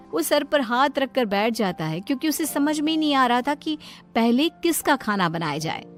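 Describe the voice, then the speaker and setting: A woman storyteller reading scripted narration of a moral tale. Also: fairly high pitch. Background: light background music.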